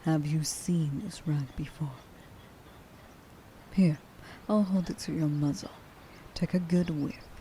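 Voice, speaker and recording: A woman playing a stern, vengeful werewolf huntress in an ASMR roleplay, speaking to the listener with deliberate contempt and menace, often slipping into a breathy whisper. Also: low voice, steady tone, warm tone, slight background noise. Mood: probing, contemptuous. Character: stern, vengeful female werewolf huntress, grieving a lost friend.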